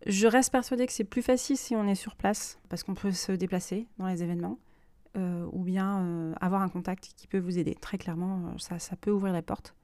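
A woman's voice: medium pitch, fairly even intonation, brisk, dark tone, no room sound. A woman hosting a personal podcast, speaking unscripted and reflectively, often hesitating as she searches for her words. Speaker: podcast host and self-published author.

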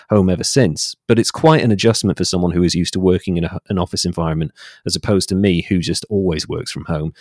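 A British man giving articulate, measured, reflective answers in a conversational interview. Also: low-pitched, darkish tone, no echo, clean studio sound. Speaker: interview guest, voice-over artist and writer.

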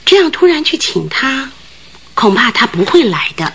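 A woman's voice: sits high, very animated, moderate pace, fairly bright tone, slightly rough, full character voice. An actress in a radio drama playing a nervous, talkative landlady who tells things in earnest detail. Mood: concerned.

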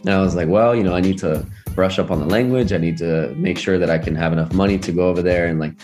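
A man's voice: low-pitched, fairly even intonation, fast talker, a little mellow, soft background music. A young American man telling personal stories in a casual, reflective way, often dropping in filler phrases like "you know". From United States. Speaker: podcast guest. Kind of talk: casual conversational storytelling.

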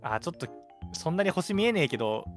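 A man's voice: a little high, big pitch swings, quick pace. A young adult man co-hosting a live web radio show, chatting in colloquial, easygoing phrases. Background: background music.

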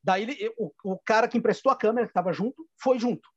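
Man, Rio Grande do Sul accent, fairly high voice, rambling anecdotes, stammering restarts, dull lo-fi audio. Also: quick pace, dry recording. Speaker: filmmaker guest. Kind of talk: casual storytelling interview.

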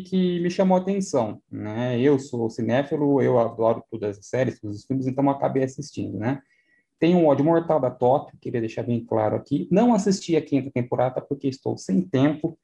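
A man's voice: mid-range voice, a little mellow. A man with a Brazilian accent chatting casually in a joking way, often adding little tag questions. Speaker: actor on the show. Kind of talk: casual chat.

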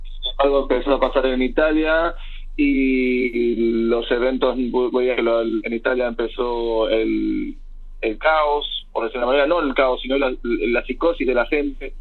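This is a young Argentine man with a slightly husky voice, telling his story as a guest on a remote interview. He wanders through his thoughts with many fillers and restarts. The sound is thin and tinny, like a phone line. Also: mid-range voice, steady tone, moderate pace. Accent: Argentine Spanish.

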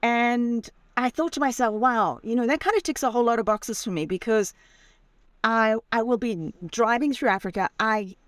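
A woman's voice: on the high side, normal speed, dry recording. Chatty woman in her sixties. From Zimbabwe. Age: 60s. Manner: chatty, self-deprecating, lively storyteller. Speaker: guest, author and van traveller. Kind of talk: casual storytelling interview. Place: in her campervan.

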